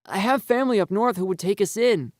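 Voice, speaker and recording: A man acting out a pleading, high-strung teenage boy. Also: a little fast, no echo, studio quality, close to an everyday voice. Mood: desperate pleading. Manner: earnest, blurting.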